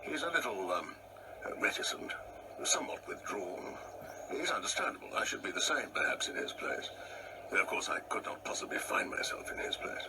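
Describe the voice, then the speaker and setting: An actor playing a pompous, insecure old English husband in an absurdist radio play, irritable, domineering and halting as he repeats his words. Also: lowish voice, big pitch swings, far from the mic, background noise. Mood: guarded, evasive. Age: older.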